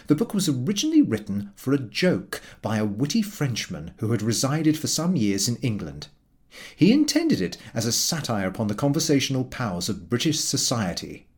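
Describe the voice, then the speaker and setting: A man narrating a comic literary story in a formal, measured and expressive storytelling manner. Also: mid-range voice. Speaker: audiobook narrator.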